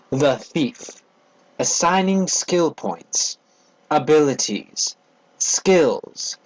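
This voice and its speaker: A man giving a dramatic, theatrical reading of a game manual as narrator, enunciating deliberately. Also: fairly high pitch, rough recording.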